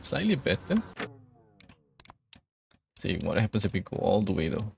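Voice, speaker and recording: Low, creaky-voiced man doing game commentary. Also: wildly swooping pitch, moderate pace, darkish tone, a little hiss or hum. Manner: murmured thinking aloud, reads on-screen instructions. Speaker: gaming video host. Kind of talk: casual let's play commentary.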